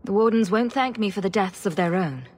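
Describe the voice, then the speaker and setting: An actress playing a steady, questioning young champion heroine in a naturalistic video game drama. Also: female voice, mid-range voice, a little fast, slightly rough. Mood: questioning, wary.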